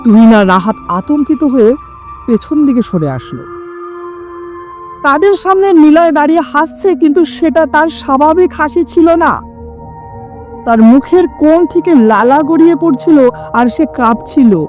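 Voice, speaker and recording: A woman's voice: sits high, expressive, measured pace, a little mellow, faint music behind. A woman narrating a scripted horror story in a dramatic storytelling manner, with sudden drops in her voice.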